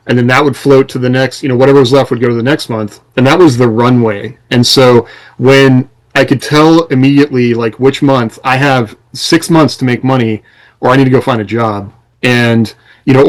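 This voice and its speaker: A man's voice: medium pitch, poor audio. A man talking casually as a podcast co-host, explaining things in a rambling way. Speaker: podcast co-host and self-employed writer.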